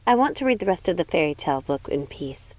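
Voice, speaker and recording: A woman voicing a gentle, sweet young woman in an audiobook, speaking in an earnest, reassuring manner. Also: lowish voice, very animated, fast, low-quality recording. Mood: soothing, reassuring. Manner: soft-hearted, earnest, reassuring. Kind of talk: audiobook character voice.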